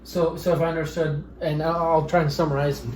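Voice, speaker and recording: Attentive host with a higher voice. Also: male voice, flat delivery, a little fast, darkish tone. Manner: attentive, many short hums, summarizing. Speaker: podcast host. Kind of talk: casual interview talk. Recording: echoey room with faint noise.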